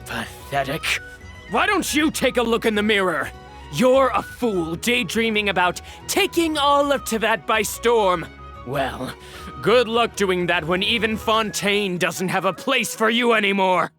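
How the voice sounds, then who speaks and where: An actor playing a menacing, bitter villain in a video game, mocking and taunting. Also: male voice, high voice, expressive, unhurried, sharp tone, soft background music, heavily acted. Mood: mocking contempt. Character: sneering, menacing male villain, bitter former business partner. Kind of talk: theatrical video game voice acting.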